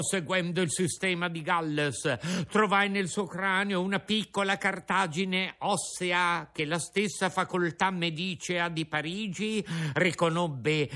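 A man's voice: sits high, pushed and bright, pitched above the performer's own voice, full character voice. A man voicing a pompous, blustering male circus ringmaster in a storybook reading, speaking grandly with mangled fancy words.

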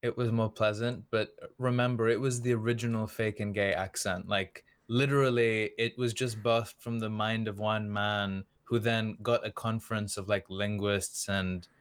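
A man's voice: on the low side, fairly even intonation, normal speed, few pauses, clear, resonant tone, no room sound. A young man from Australia with a light Australian accent, a native Serbian speaker, chatting casually as a podcast guest with many "like" fillers. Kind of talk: casual conversation.

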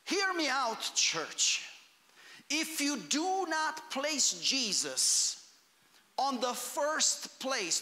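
Fervent male preacher, high voice. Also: in a large hall. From Romania. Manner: fervent, builds to shouts on key phrases. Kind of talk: sermon. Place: church hall.

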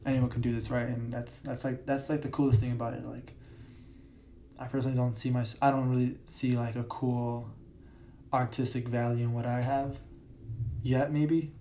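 A young man hosting his own podcast, his voice raspy today, talking casually with many fillers and restarts, recorded in a big room. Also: mid-range voice, level tone, moderate pace, dark tone, a little hiss or hum. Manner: rambling, filler-heavy, self-correcting. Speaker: podcast host. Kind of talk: casual solo podcast talk. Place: large echoey room. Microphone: podcast mic.

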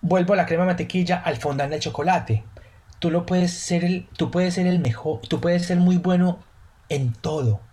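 A man's voice: sits high, moderate pace, warm tone. A Colombian man, a pastry chef, speaking as a podcast guest in an earnest way, often repeating words as he searches for them. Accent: Colombian Spanish. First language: Spanish. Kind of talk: casual podcast interview.

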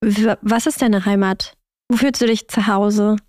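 A woman's voice: mid-range voice, steady tone, unhurried, a little mellow, no echo, clean studio sound. A young woman from Germany chatting casually as a podcast host. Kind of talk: casual interview chat.

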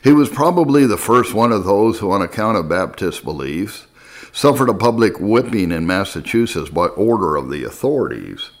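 Guy with a pretty deep voice reading out old history, formal and measured like an audiobook. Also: animated. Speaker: narrator reading historical texts. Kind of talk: scripted audiobook-style narration of history.

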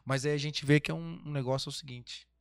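A man's voice: on the high side, steady tone, fast talker, creaky, clean tone. Chatty man rambling through history. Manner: rambling, restarts often, asks himself rhetorical questions. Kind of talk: casual history chat.

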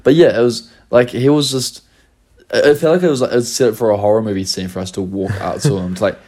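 A man's voice: fairly low pitch, fairly even intonation, quick pace, mellow. A young man with a New Zealand accent and a slight Australian twang, chatting casually as a podcast co-host in a sweary, jokey storytelling way. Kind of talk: casual chat.

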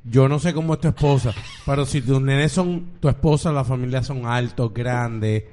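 A man's voice: medium pitch, moderate pace, a little mellow, a little hiss or hum. A man with a Puerto Rican accent in a casual group chat, crude, teasing and full of slang. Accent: Puerto Rican Spanish.

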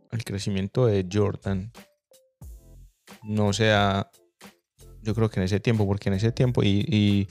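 A man from Colombia with a Medellín Spanish accent, telling stories in a relaxed, reflective way during a casual chat with frequent restarts. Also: fairly low pitch.

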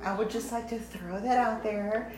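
A woman around forty chatting casually in a home kitchen, animated and teasing. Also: a little high, normal speed, reverberant. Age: 30s. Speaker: retail sales manager. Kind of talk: casual chat.

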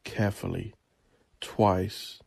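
Male narrator, low and gravel-edged, theatrical yet restrained in inflection, for solemn, deliberate scripted storytelling. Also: flat delivery, a little mellow. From Northern Ireland. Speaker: author narrating own story.

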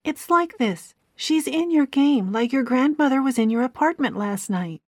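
A blunt, hot-tempered young woman, voiced by a woman narrator, sharp and exasperated, always ready with an insult. Her voice sits high and pointed, and the recording is dry and close. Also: lively intonation, normal speed, dry recording. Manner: sharp, exasperated, name-calling. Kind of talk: audiobook character voice.

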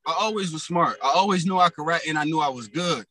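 A raspy young man telling his story in loose, slang-heavy casual talk as a rapper guest. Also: a little high, fast, bright, forceful tone.

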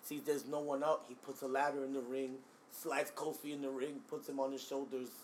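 A man co-hosting a casual wrestling chat, telling scenarios in an animated, slangy, profane way. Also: medium pitch, brisk, a little hiss or hum. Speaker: podcast co-host.

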